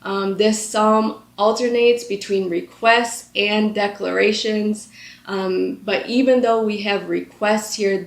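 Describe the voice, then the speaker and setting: A woman's voice: mid-range voice, vocal fry. Earnest woman teaching in an echoey room. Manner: earnest, conversational, with frequent "um" fillers. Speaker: Bible study host. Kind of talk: scripture reading and devotional teaching.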